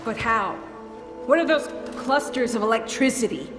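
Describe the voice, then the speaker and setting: A woman's voice: on the high side, expressive, moderate pace, close to an everyday voice. A woman playing a blunt, commanding female agent who interrogates people in a video game cutscene. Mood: urgent, demanding. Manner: blunt, demanding, swearing. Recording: echoey hall reverb under game music.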